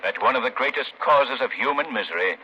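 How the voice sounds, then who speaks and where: A man's voice: mid-range voice, expressive, brisk, natural voice. An actor playing a commanding male crime fighter with secret powers in a dramatic 1930s radio play, on an old muffled, noisy recording. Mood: calm, grave.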